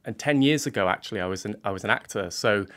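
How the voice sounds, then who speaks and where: Low-voiced earnest young man. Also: fairly bright tone. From England. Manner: earnest, halting, many fillers and restarts. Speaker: guest, art student. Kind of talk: radio interview.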